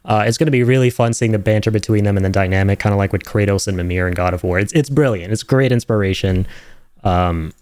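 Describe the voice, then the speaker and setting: An enthusiastic man talks casually through game trailer theories. His voice sits fairly low and is recorded dry. He speculates freely, like a streamer hosting a reaction video. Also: fast talker, darkish tone, no echo.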